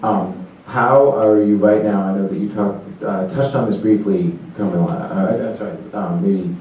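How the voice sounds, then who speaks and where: A man in the audience asks a long question and hesitates often, with many ums and uhs. His voice is very deep. He is heard from far off in a very echoey hall, and the sound is dull. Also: fairly even intonation, normal speed, a little hiss or hum. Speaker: audience member asking a question. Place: conference hall.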